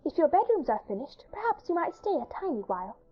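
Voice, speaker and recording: A woman voicing a kind, dreamy little schoolgirl with a gentle, friendly manner in a dramatized storybook. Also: high-pitched, big pitch swings, quick pace, dull audio, voice pushed up. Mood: friendly, inviting.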